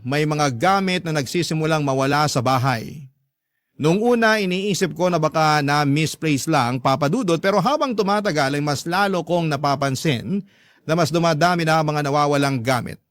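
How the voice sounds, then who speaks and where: Higher-pitched Filipino male storyteller. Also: no room sound, clean studio sound. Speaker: radio host reading a listener's story. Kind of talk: dramatic first-person story narration.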